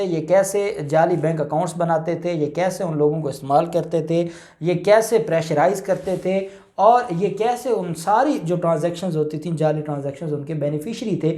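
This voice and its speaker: A man's voice: fairly high pitch, a little mellow. A Pakistani man speaking as a lawyer, explaining legal points informally, repeating key phrases and mixing in English terms. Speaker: lawyer, guest expert. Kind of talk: informal legal explanation in question-and-answer show.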